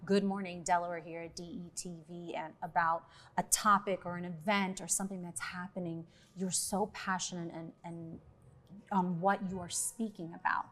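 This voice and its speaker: A woman's voice: fairly low pitch, level tone, normal speed. A woman co-hosting a morning TV interview, praising her guest with enthusiasm. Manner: warmly affirming, with repeated praise. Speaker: TV morning show co-host.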